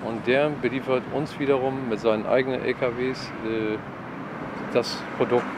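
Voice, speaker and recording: A man in his fifties giving a halting interview answer, searching for words, outside a building. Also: mid-range voice, flat delivery. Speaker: butcher business owner. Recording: outdoor street noise, passing cars.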